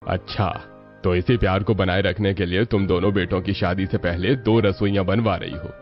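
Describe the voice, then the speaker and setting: A skeptical middle-aged husband from a cartoon family tale, acted by a man. His voice is pitched down, measured and deep. The audio is dull with music beneath. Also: fairly even intonation, natural voice. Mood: doubtful, resigned. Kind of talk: Hindi cartoon story dialogue.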